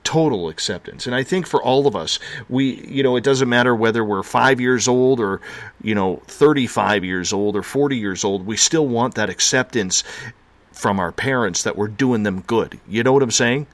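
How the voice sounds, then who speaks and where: A man's voice: mid-range voice, normal speed, no echo. A man hosting a radio talk show, chatting casually and reflectively, sharing personal anecdotes. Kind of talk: casual conversational interview.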